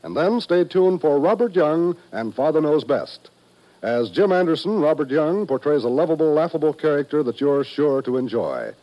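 A man's voice: on the high side, sing-song, moderate pace. A man with an American accent announcing on 1950s radio in a polished, scripted promotional style. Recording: dull vintage radio recording.

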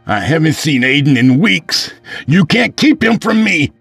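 A man's voice: medium pitch, very animated, unhurried, no room sound, soft background music, pitched above the performer's own voice. A man playing a bitter, volatile, drunken lawyer in an audiobook story, sarcastic and prone to anger. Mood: angry pleading.

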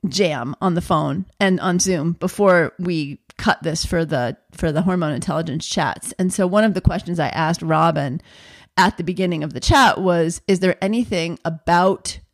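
A woman's voice: on the low side, gravelly. A woman hosting a podcast, introducing her guest with enthusiastic, effusive praise. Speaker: podcast host.

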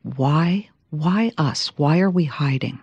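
A woman hosting an interview and asking lively, curious questions. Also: deep voice, slow, breathy edge, no room sound, highs cut off. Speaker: host.

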